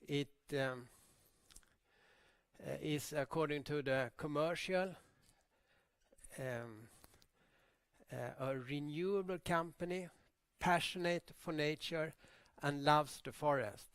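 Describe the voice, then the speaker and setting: An older man introduces a guest speaker in a university lecture hall, speaking haltingly with many fillers. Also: on the high side, fairly bright tone, clean tone. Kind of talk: formal introduction.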